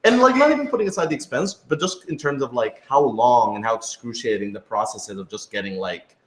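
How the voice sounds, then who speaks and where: A man's voice: medium pitch, expressive, a little fast, noisy background. A man talking casually and thoughtfully in a group discussion as a show's creator, hedging and often saying "like". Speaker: podcast creator and writer in a group Q&A. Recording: roomy, echoey room sound.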